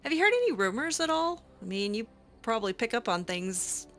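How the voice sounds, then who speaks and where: Young woman gamer-roleplayer: bright, lively tone, hesitant, filler-heavy delivery. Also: fairly high pitch, a little fast, faint music behind. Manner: hesitant, lots of fillers, laughs easily. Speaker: let's-play roleplayer. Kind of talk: casual in-character game roleplay.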